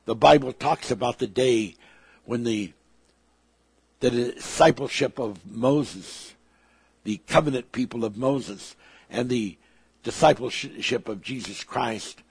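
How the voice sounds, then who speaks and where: Gravelly, husky male preacher. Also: mid-range voice, darkish tone, no echo. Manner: emphatic, swelling and dropping in volume. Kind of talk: sermon.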